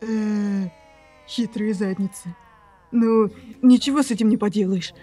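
A woman voicing a reluctant, grumpy young hero in an amateur visual novel, with a deadpan, grumbling delivery. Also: mid-range voice, a little slow, lightly acted. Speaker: voice actor for the hero.

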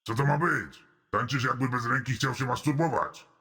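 A sleazy cartoon cellmate with a gravelly, husky rasp and a bright, sharp edge. He talks in a deadpan, mock-friendly way that makes every line sound like a threat. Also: mid-range voice, moderate pace. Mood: menacing. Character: sleazy menacing male prison cellmate, creepy. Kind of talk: animated comedy voice acting.

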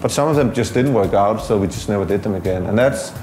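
A man, giving loose, relaxed interview answers full of fillers. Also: lowish voice, quick pace, reverberant, soft background music. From Denmark.

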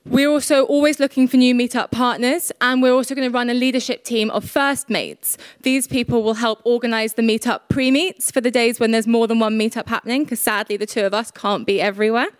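A young woman presenting a prepared conference talk on stage, steady and polished. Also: on the high side, a little fast, few pauses, dry recording. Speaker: conference speaker.

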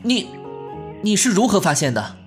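An actor playing a proud, sharp-tongued but caring young man in an ancient-costume audio drama, huffy and scolding. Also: sits high, big pitch swings, normal speed, over music. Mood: flustered. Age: young adult.

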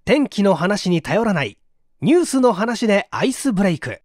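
A man with a high-pitched voice reads a nonfiction audiobook in a polite, explanatory manner. His intonation rises and falls in a lively way. The sound is dry and close, with no room echo. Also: moderate pace. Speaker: audiobook narrator. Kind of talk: scripted audiobook reading.

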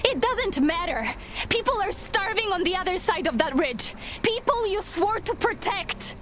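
An actress playing an earnest, compassionate young resistance fighter in a video game, with a pleading manner. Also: female voice, extremely high pitch, expressive, moderate pace, fairly bright tone, a little hiss or hum. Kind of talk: dramatic video game voice acting.

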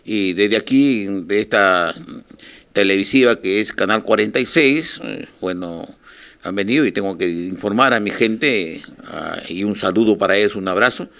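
An older man with a Peruvian Spanish accent speaks haltingly and informally in an interview, addressing his listeners. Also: lowish voice, gravelly, pushed and bright. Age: 60s or older. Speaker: radio station manager, interviewee. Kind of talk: informal televised statement to listeners. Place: small office, indoors. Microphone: handheld reporters' microphones held close.

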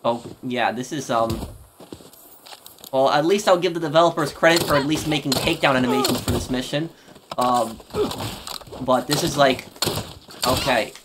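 A man giving casual, bemused gameplay commentary in a halting, off-the-cuff way as a video host. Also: mid-range voice, noisy background.

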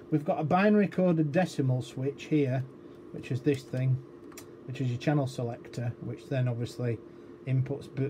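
Guy doing an informal tech walkthrough at a workbench, pretty monotone, kinda hesitant, noticeable background noise. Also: medium pitch. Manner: explanatory, hesitant, thinking aloud. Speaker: electronics repair demonstrator. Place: indoor electronics workbench. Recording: clearly noisy workshop room recording.

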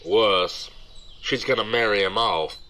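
Actor as smooth-talking male sidekick: lowish, forceful voice, earnest dramatic storyteller, audio drama. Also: unhurried. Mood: persuasive. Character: smooth-talking, excuse-making male buddy of a rogue.

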